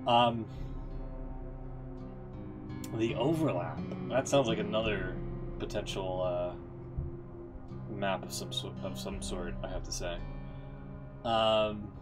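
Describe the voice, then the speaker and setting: A man's voice: on the low side, slow pace, long pauses. A man giving casual gaming commentary as a streamer, in a rambling, hesitant way that often interrupts itself. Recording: music playing behind the voice.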